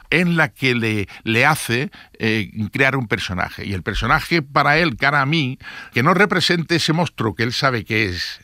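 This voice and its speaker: An older man the police speaks spontaneously. His voice is mid-pitched, sharp and forceful. He halts, repeats and stresses his points, recorded dry. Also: no room sound. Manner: halting, repetitive, emphatic. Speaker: former police inspector interviewed. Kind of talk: spontaneous interview testimony.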